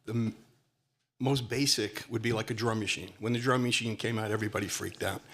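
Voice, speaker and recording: A man's voice: fairly low pitch, fairly even intonation, a little fast, fairly bright tone. A middle-aged man with a Brooklyn accent, speaking as a panelist in a rambling, conversational way. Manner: rambling, conversational, many fillers. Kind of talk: panel discussion.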